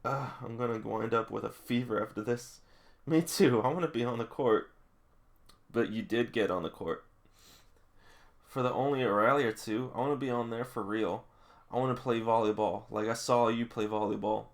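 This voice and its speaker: A man's voice: medium pitch, normal speed, vocal fry, close to an everyday voice. A man voicing earnest teenage boy athletes from a manga in a plain, heartfelt way. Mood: earnest, wistful.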